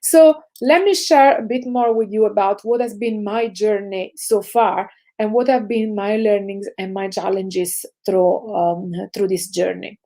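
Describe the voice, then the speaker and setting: Italian lady around 40 doing a motivational talk for students, soft dark voice, kinda reflective. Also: medium pitch, steady tone, measured pace, hardly any pauses. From southern Italy. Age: about 40. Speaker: speaker sharing career lessons with students. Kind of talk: prepared motivational talk to camera.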